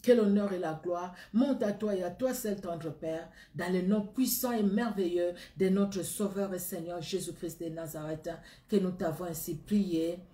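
A woman's voice: fairly low pitch, normal speed, few pauses, warm tone, roomy sound. A middle-aged woman with a Congolese accent, preaching a sermon and leading a spoken prayer in an earnest, repetitive way. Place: indoor room against a plain wall.

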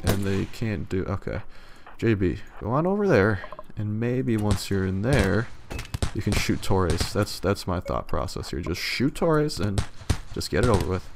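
A young man with a slightly hoarse voice, giving loose, rambling gameplay commentary and swearing casually. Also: on the low side, noisy background. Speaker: let's play gamer.

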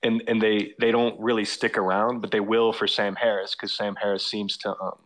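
A man's voice: fairly low pitch, level tone, normal speed, fairly bright tone. A man calling in to a talk show, speaking hesitantly and searching for his words. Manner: hesitant, lots of fillers and restarts, searching for words. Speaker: caller. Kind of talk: casual phone-in conversation.

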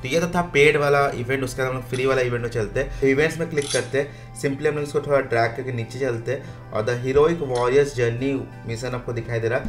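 A man gives a casual gaming walkthrough at a middling pitch. He explains things step by step, with music playing faintly behind his voice. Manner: instructional, step by step. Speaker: gaming video host. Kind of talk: casual game event walkthrough.

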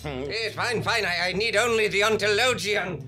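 Man playing a fussy, high-voiced villainous lord. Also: over music, close to an everyday voice. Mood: impatient. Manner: flustered, haltingly pompous. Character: fussy, impatient, grandiose villainous feudal lord. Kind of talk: live comedy radio-play acting. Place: small fringe theatre stage, indoors. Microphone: stand microphone.

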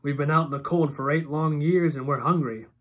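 A narrator voicing a cold, controlling ex-convict father who speaks slowly and deliberately. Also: mid-range voice, fairly even intonation, lightly acted. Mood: menacing. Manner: slow, quiet menace, deliberate.

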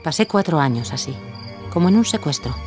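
Poised young wife, lowish voice. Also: female voice, expressive, brisk, a little mellow, faint music behind. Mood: reflective. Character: poised, clever young actress wife, defiant underneath. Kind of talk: Spanish audio fiction drama.

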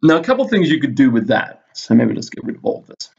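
A man speaks at an average pitch on a dull, lo-fi recording. He sounds like a teacher giving an informal lesson at his computer. He thinks aloud as he goes, building the explanation haltingly, one step at a time. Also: very animated, vocal fry, a little mellow. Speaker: physics teacher. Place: indoors, screen-recorded voice-over at a computer.

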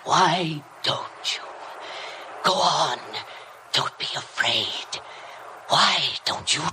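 Slow, low, sinister housekeeper. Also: female voice, lively intonation, bright, forceful tone, exaggerated voice. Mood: hypnotic menace. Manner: slow, hypnotic, menacing, formally servile. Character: sinister obsessive housekeeper, coldly goading her young mistress. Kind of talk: 1950s radio drama acting. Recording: noisy old 1950 radio broadcast recording.

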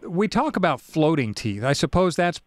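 A man's voice: on the high side, big pitch swings, normal speed. A man hosting a radio interview, asking curious questions and restating points for his listeners. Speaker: radio show host.

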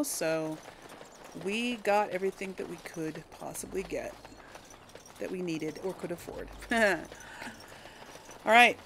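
A woman's voice: medium pitch, slight background noise. A woman giving casual let's play commentary, chatting to her viewers and thinking aloud as she plays. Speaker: video game player hosting a series. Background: game music.

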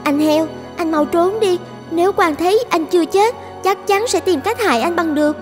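A woman's voice: extremely high pitch, normal speed. A woman voicing a kind, brave young girl in a cartoon fairy tale, earnest and pleading, with music behind. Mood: urgent concern.

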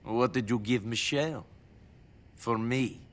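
Man as a deadpan Eastern European criminal. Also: medium pitch, unhurried, close to an everyday voice. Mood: sardonic, resentful. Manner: deadpan, sarcastic, terse. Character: weary, sardonic immigrant war veteran turned criminal. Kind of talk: video game cutscene acting.

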